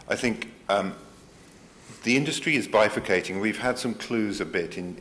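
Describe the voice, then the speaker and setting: A man's voice: deep voice, a little hiss or hum. A middle-aged man speaking as a panelist, fluent and businesslike. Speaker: panelist at a finance conference. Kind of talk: panel discussion.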